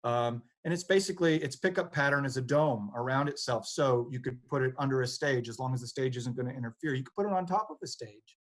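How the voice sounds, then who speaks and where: A man working as an audio technician, explaining things on a training panel in a halting way, thinking aloud piece by piece. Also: medium pitch.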